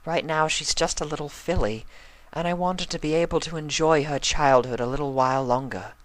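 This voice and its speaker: Man putting on a dainty, dramatic pony lady, pitched up. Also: fairly even intonation, a little fast, no echo, lightly acted, poor audio. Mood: anxious. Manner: dainty, theatrical, genteel phrasing. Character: refined, dramatic lovesick young female pony lady. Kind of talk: storybook character voice in fan fiction reading.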